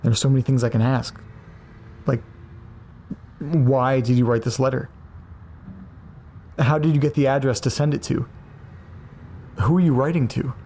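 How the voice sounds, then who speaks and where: A man's voice: medium pitch, fairly even intonation, moderate pace, long pauses, mellow, natural voice. A man playing an earnest, anxious amateur podcaster in naturalistic, hesitant audio drama dialogue. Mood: earnest, nervous. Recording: faint car noise. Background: car interior ambience.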